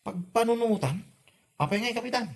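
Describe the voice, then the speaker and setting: A man's voice: extremely high pitch, expressive, brisk. A man acting an earnest, aggrieved villager in an Ilocano comedy drama, with an Ilocano accent. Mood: insistent. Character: earnest villager, aggrieved over withheld prize money.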